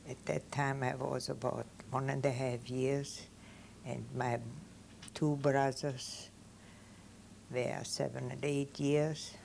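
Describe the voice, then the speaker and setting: A woman in her seventies from Austria with a Viennese German accent, German her first language, giving oral history testimony in slow, halting, simple phrases. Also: very deep voice, creaky, a little mellow, a little hiss or hum. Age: 70s. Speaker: interviewee.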